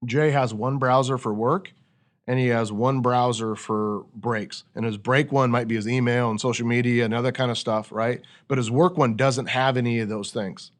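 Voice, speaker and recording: Male podcast host, medium pitch, conversational coach who teaches through rhetorical questions. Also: steady tone, normal speed. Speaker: podcast host leading a discussion.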